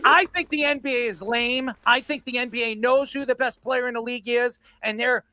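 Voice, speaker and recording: A man's voice: very high voice, fairly even intonation, a little fast, pushed and bright, dry recording. A man hosting a sports debate show, blunt and combative, given to ranting and swearing.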